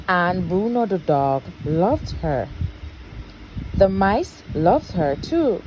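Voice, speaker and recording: A woman reading a storybook aloud as a parent would at bedtime, expressive and deliberate. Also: mid-range voice, a little hiss or hum, low-quality recording.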